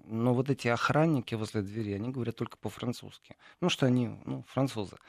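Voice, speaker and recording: A man's voice: medium pitch, quick pace, vocal fry, a little mellow, no room sound. Guy rambling through an interview answer, lots of uh's, dry mic. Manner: digressive, many fillers and asides. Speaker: guest describing parliamentary assembly.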